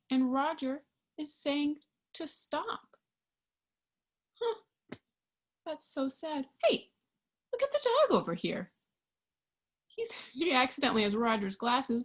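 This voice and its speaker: A woman reading a picture book aloud to young children in an expressive, child-directed storytime style. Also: fairly high pitch. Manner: expressive, child-directed, with questions and sound effects.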